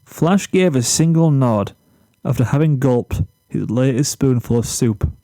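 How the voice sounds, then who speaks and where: A man narrates a written story at a slow, measured pace. His tone is mellow and soft-edged, and the recording is very dry. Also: mid-range voice, no room sound.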